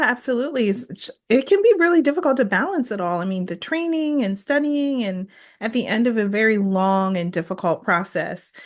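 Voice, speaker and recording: A woman hosting an interview show as a psychologist and exam coach, speaking in a conversational interview style. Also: fairly high pitch.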